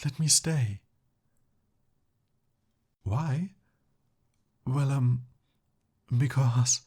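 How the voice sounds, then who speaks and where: A man acts out a pompous young prince in an ASMR audio drama. His mid-pitched voice is a little husky and takes its time. He speaks in lofty, formal phrases, yet comes across flustered and pleading. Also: a little mellow, full character voice. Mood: desperate pleading, then embarrassed, pleading. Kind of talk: ASMR roleplay voice acting.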